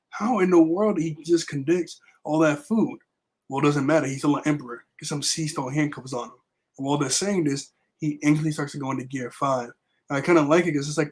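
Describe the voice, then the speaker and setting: A young man with a raspy voice left over from being sick, casually recapping a manga chapter with lots of fillers. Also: a little high. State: raspy voice recovering from illness. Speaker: manga review vlogger. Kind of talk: casual chat.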